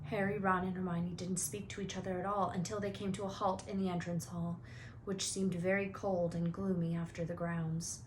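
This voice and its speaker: A woman's voice: on the low side, level tone, moderate pace, a little hiss or hum, low-quality recording. A woman narrating a children's book expressively, as a teacher reading aloud.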